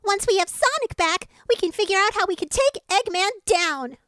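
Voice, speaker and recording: A clever, worried young male fox with a high, expressive voice, voiced by a woman. The sound is dry and close to the mic. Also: moderate pace, dry recording. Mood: earnest. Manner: earnest, concerned.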